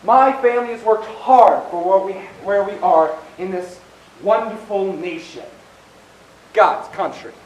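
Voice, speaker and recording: Man acting high-voiced indignant young debater, echoey stage. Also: a little slow, a little mellow. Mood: pompous. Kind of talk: amateur stage play acting. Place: stage in a hall. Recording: echoey hall, distant mic, faint noise.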